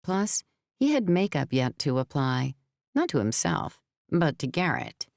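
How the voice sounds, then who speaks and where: Female audiobook narrator: deep for a woman, crisp scripted storytelling, very dry studio recording. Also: very animated, measured pace, a little mellow, no echo.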